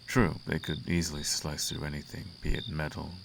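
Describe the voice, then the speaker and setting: A man's voice: a bass voice, little pitch movement, fairly quick, noisy background. A man playing a suave, flirtatious, imperious demon prince in an intimate roleplay monologue, teasing and silky with grand formal phrasing. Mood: seductive, teasing. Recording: clear outdoor cricket ambience behind.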